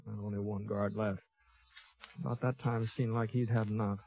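An American actor playing a laconic, folksy gunfighter who narrates a 1950s radio western in a halting, drawling way. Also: male voice, fairly low pitch, level tone, vocal fry. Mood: tense, measured. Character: laconic, folksy, fair-minded Old West gunfighter narrating his tale. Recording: dull lo-fi vintage 1950s radio recording.

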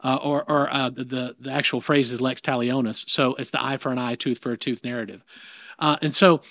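A man's voice: mid-range voice, fairly even intonation, brisk, a bit bright, dry recording. A middle-aged man with a Southern US accent, a minister talking as an interview guest in an emphatic, preacherly way with many restarts. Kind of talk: casual interview conversation. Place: in person, New York.

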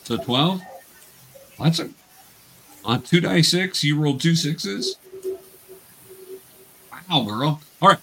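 A man's voice: mid-range voice, a bit bright, low-quality recording. A man acting as game master, casually and patiently explaining tabletop rules and encouraging players.

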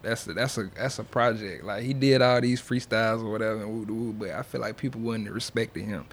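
A man's voice: lowish voice, steady tone, fast talker, a little hiss or hum. A young man talking as a music artist being interviewed, in a loose, slangy, casual way. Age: young adult. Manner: slangy, loose, peppered with check-in phrases.

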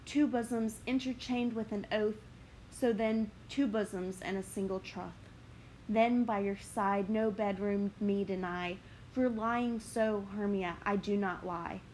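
A woman voicing an ardent young man in love, reading verse in a slow, earnest way. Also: medium pitch, steady tone, darkish tone, a little hiss or hum, lightly acted, rough recording. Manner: earnest, measured verse delivery. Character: ardent young man, lovestruck and smooth-talking.

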